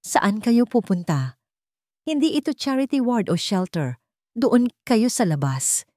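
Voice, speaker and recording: Woman as big male guard, gruff, bullying, scornful, mellow tone, audio drama story. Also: high-pitched, very animated, measured pace, no room sound, studio quality, voice pushed up. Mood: barking. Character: large, scornful, barking male security guard.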